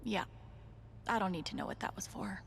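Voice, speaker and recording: A woman playing a wry, quietly observant young video game heroine, speaking her inner thoughts in an understated, dry way. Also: mid-range voice, fairly even intonation, a little fast, creaky, in a whisper, close to an everyday voice. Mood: hushed musing. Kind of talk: video game character acting, inner monologue.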